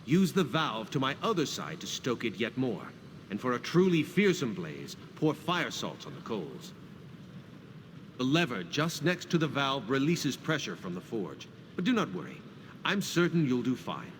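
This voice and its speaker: An actor playing a hearty, devout warrior smith who gives formal, emphatic encouragement in a video game. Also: male voice, mid-range voice, big pitch swings, moderate pace, a bit bright. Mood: solemn, welcoming. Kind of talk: video game character dialogue. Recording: clear background noise of a game scene.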